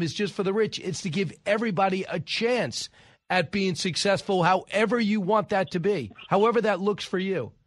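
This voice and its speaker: A man's voice: sits high, no room sound. A man hosting a talk show, chatting and asking pointed questions in a conversational way. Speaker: host. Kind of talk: radio talk interview.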